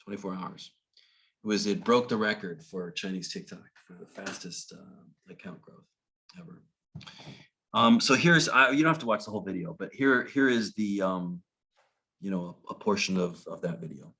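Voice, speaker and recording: A middle-aged man speaking as a guest at a club meeting, telling anecdotes in a halting way with frequent restarts and chuckles. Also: low-pitched, bright, forceful tone, husky. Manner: halting, full of restarts and laughs. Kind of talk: casual talk.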